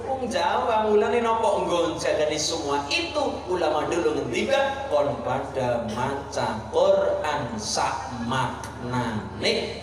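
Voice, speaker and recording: A man with a Javanese accent preaching a folksy Islamic sermon, emphatic and repetitive, with rhetorical questions and Quran quotes. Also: on the high side. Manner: emphatic, pausing, repetitive delivery. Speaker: preacher at an Islamic study gathering. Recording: echoey PA reverb, distant, noisy gathering.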